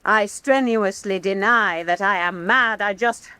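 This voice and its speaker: An actress playing a proud, intense woman painter in a radio drama, declaiming with scorn and dramatic pauses. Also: medium pitch, sharp tone, clear, resonant tone, no room sound. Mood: restless, insistent. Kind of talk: theatrical radio drama acting.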